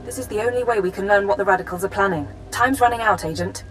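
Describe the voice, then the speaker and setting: Woman as a brisk, businesslike intelligence handler. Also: medium pitch, soft background music, close to an everyday voice. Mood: brisk, urgent. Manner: crisp, cool, businesslike. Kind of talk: video game character acting.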